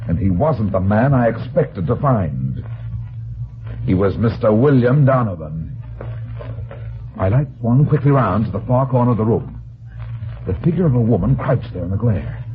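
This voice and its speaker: An actor playing a tough, terse, sardonic heavyset private detective in an old-time radio drama. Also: male voice, on the low side, very animated, normal speed, long pauses. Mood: grim, watchful. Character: hard-boiled, suspicious heavyset male private detective. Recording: tinny band-limited old radio recording.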